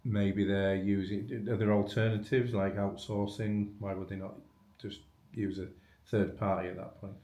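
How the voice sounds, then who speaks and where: A man with a very low voice hosts a business webinar as an interviewer. He puts informal, probing questions conversationally, and the room around him sounds clearly echoey. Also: flat delivery, moderate pace, dark tone. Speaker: webinar host asking questions.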